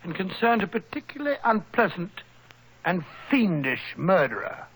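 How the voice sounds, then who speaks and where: A man acting a bumbling, blustering older British gentleman with a husky voice, in 1940s radio drama style. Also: high voice, very animated, moderate pace, heavy background noise. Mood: genial. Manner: blustering, genial.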